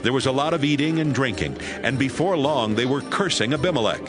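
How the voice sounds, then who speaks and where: A man narrating a dramatized Bible reading in a steady, even storytelling manner. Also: medium pitch, brisk, over music.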